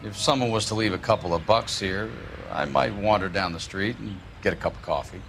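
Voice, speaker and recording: A man's voice: on the low side, brisk, vocal fry, fairly bright tone, a little hiss or hum. An actor playing a cagey male watchman in a 1940s detective game, with an American accent and a laconic, slangy way of talking. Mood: cagey, indifferent. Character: cagey, grubby-dealing male watchman of a shuttered film studio. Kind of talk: video game character acting.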